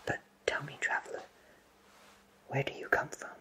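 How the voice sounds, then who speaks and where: A man whispering an ASMR roleplay close to the microphone as a courteous, cheerful potion shopkeeper, with genteel, theatrical phrasing and deliberate pauses. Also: sharp tone. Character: cheerful, courteous, flowery-spoken potion shopkeeper in a fantasy town.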